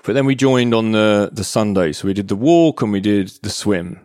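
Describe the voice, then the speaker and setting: Low-ish voiced guy on a podcast, excited, rambly, keeps saying right?, dry mic. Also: moderate pace, dry recording. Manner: enthusiastic, rambling, lots of restarts and "right?". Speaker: guest, movement coach. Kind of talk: conversational podcast interview.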